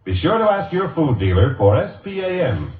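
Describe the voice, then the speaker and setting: An upbeat American man reads 1940s radio ad copy in a fairly high voice. He stresses the selling points with big melodic swings. The sound is a thin, narrow old broadcast with echo around it. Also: sing-song, moderate pace, recorded from a distance. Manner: upbeat, emphatic salesmanship. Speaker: radio commercial announcer. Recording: boxy vintage radio recording, echoey, narrow band.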